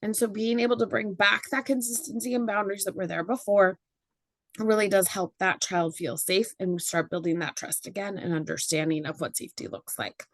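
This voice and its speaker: A slightly hoarse woman facilitating a parenting workshop, speaking chattily with fillers and frequent "right?" tags. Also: mid-range voice, fairly even intonation, a little fast, no room sound. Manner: chatty, with many fillers and tag questions. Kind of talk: informal workshop presentation. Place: meeting room with live audience.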